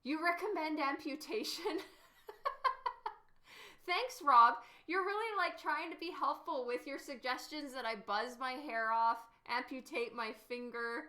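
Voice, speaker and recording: A woman's voice: high voice, measured pace, bright, forceful tone. A woman artist casually chatting with stream viewers while she paints, giggling often and answering their questions.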